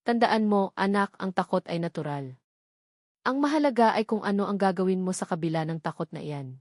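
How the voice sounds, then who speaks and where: A woman's voice: mid-range voice, expressive, brisk, a little mellow, dry recording, studio quality, close to an everyday voice. A woman voicing a loving, resolute mother reading out her farewell letter in a solemn, earnest drama style. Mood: tender, solemn.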